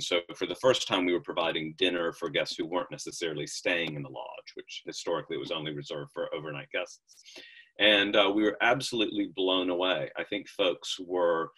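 A man's voice: low voice, fairly bright tone, slightly rough, low-quality recording. A middle-aged man with an American accent, a lodge owner talking enthusiastically in an interview over a call, with frequent restarts and fillers.

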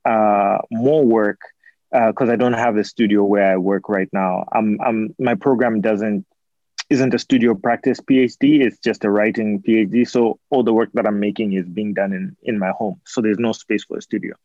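A man's voice: fairly low pitch, steady tone, normal speed, a little mellow, dry recording, lo-fi sound. A young man from Zambia, an artist and PhD student, giving thoughtful interview answers with many fillers and restarts.

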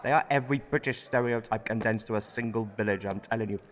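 A man's voice: lowish voice, fast talker, big-room sound, natural voice. An actor playing a cynical, ambitious, two-faced male politician in a radio play, grumbling and sardonic. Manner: sardonic grumbling, swears freely.

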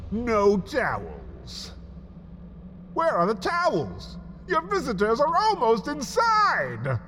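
A man narrating a scripted comic story in the second person, theatrical and melodramatic, with dramatic pauses. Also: high voice, fairly bright tone, slight background noise. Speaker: writer and narrator of a comedy story podcast.

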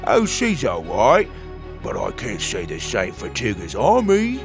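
A man's voice: fairly low pitch, huge pitch swings, unhurried, creaky, over music, full character voice. A man voicing a confident, cocky creature with a bill, the hero's friend in a children's fantasy story, in a drawling manner. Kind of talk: children's fantasy audiobook character.

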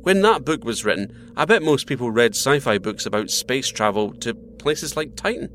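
A man playing a calm, friendly space mission captain with dry humour in a naturalistic audio drama. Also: medium pitch, moderate pace, slight background noise, natural voice. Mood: reflective, friendly.

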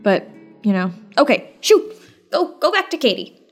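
A woman's voice: high voice, big pitch swings, moderate pace, faint music behind. A woman co-hosting a podcast in a conversational way, candid and self-deprecating with playful drama. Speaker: podcast co-host and production organizer.

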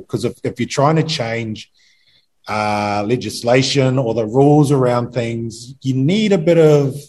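A man's voice: mid-range voice, a little mellow. A man with a New Zealand accent, a campaigner guest speaking hesitantly with many fillers. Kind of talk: casual chat.

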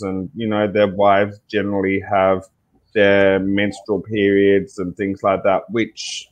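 A man with an Australian accent speaking earnestly as an interview guest, repeating key phrases for emphasis. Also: fairly low pitch. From Tasmania, Australia. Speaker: interview guest, mental health advocate.

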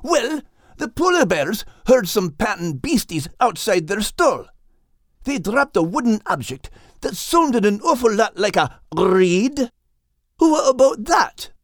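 A man's voice: high voice, big pitch swings, slow, no room sound, studio quality, pitched above the performer's own voice, full character voice. Man voicing a stubborn brogue-talking dog. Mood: insistent. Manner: blunt, dialect words like "lass" and "me life". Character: gruff stubborn male dog, Scots-tinged brogue.

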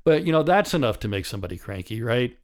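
A man in his sixties with a raspy voice from a partly paralyzed vocal cord, talking candidly and wryly as host of a solo podcast. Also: mid-range voice, sing-song, fast talker. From United States. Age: 60s. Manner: candid, wry and conversational, with chuckles. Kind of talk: reflective solo podcast monologue.

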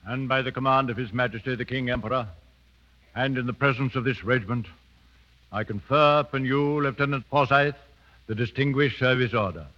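An actor playing a dignified middle-aged British colonel in a 1930s radio drama, formal and ceremonial. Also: male voice, medium pitch, fairly quick, lightly acted. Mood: solemn. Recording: dull 1930s radio broadcast recording.

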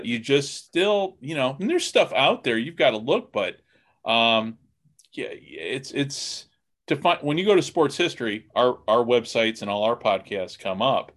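A man's voice: mid-range voice, a bit bright. Man hosting, rambling casual podcast talk. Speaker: podcast host interviewing guests. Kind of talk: casual conversational interview. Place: remote call on a computer.

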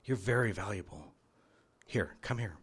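An actor playing a married advertising boss in a live radio drama, commanding and emphatic yet smitten. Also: male voice, on the low side, animated, normal speed, noisy background, natural voice. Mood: approving, fond. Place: live on stage in a theater.

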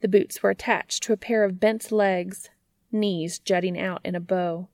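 A woman's voice: medium pitch, fairly even intonation, a little slow, a little mellow, dry recording, studio quality. A woman reading a scripted story aloud as a storybook narrator. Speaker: fan fiction reader and narrator.

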